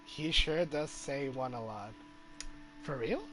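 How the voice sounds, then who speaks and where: A man's voice: medium pitch, takes it slowly, fairly bright tone, a little hiss or hum. A man giving casual gameplay commentary as a streamer. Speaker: game streamer. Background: game music.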